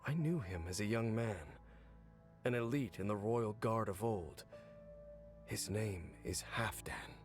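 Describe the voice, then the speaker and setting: A man voicing a solemn, world-weary knight in a video game, speaking in a measured, brooding way. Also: fairly low pitch, soft background music, natural voice. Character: grave, world-weary male knight, former royal guard commander. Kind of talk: video game character acting.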